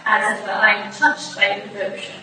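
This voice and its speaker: A woman playing a poised, sharp-tongued society wife, arch and drily amused, in a staged reading of a period drama. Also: medium pitch, monotone, fairly quick, lightly acted. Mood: dry, pointed. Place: staged reading in a large echoey room. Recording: echoey hall from far off, clear noise.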